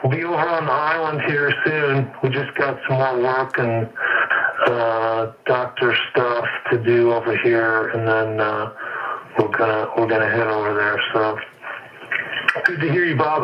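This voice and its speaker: A laid-back man chatting casually as an amateur radio operator, rambling and pausing over a radio transmission. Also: mid-range voice, level tone, recorded from a distance, highs cut off, noisy background. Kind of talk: casual ham radio chat. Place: indoors at his shop, over remote radio link.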